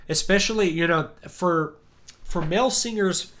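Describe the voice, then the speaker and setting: A man hosting a casual chat, talking in a rambling, animated way and acting out quotes, his deep voice worn because he is sick. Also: moderate pace. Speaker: livestream host. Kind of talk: casual chat about music.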